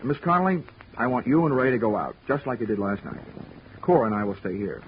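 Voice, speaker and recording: Wisecracking hard-boiled investigator. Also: male voice, medium pitch, sing-song, quick pace, slight background noise. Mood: wry. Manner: dry wisecracking first-person narration. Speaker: narrator and lead. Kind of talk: 1940s radio acting. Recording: lo-fi 1940s radio broadcast with music.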